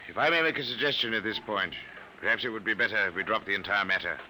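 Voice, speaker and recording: An anxious, formal gentleman in a fifties radio play. The voice is lowish and keenly sharp, with careful, courteous phrasing, on a restored vintage broadcast. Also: fast, a little hiss or hum, low-quality recording. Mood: cautious. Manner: prim, polite, precise. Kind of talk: 1950s radio drama acting.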